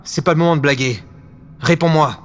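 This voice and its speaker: A man's voice: a little high, brisk, close to an everyday voice. A man playing a wary, defiant secret service agent in a French audio drama, speaking tersely. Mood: tense, demanding. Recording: faint background noise.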